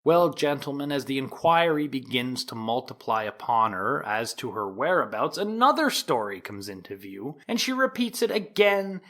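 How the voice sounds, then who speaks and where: An actor playing a solemn, insistent 1890s prosecuting attorney, delivering a formal, deliberate opening statement to a jury in an audio drama. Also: male voice, medium pitch, very animated, fairly bright tone. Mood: measured, grave. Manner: formal, deliberate oratory with emphatic rises. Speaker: actor in an audio theatre production.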